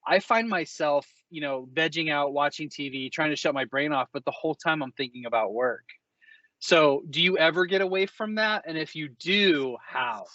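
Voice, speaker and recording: A man's voice: on the high side, moderate pace, sharp tone, no echo. Man, show host, effusive, casual chat, dry sound, slightly muffled audio. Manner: enthusiastic, effusive. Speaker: podcast host, company executive.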